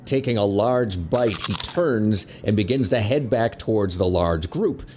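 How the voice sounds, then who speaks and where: A man's voice: lowish voice, lively intonation, a little slow, a little mellow, a little hiss or hum. A man narrating a scripted teen audio drama as a wry storyteller. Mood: descriptive.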